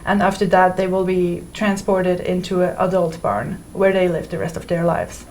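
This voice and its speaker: A woman's voice: lowish voice, moderate pace, a little mellow, slight background noise, low-quality recording. A young woman from Switzerland, a PhD student, explaining her research in an interview with careful phrasing and some restarts. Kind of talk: interview answers. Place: indoor university room.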